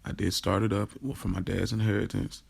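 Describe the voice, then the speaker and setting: A man voicing a confident businesswoman, a coolly flirtatious boss and lover, in casual, measured audio drama dialogue over music. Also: on the low side, little pitch movement, gravelly, darkish tone, husky, exaggerated voice. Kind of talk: quoted dialogue within audio drama narration.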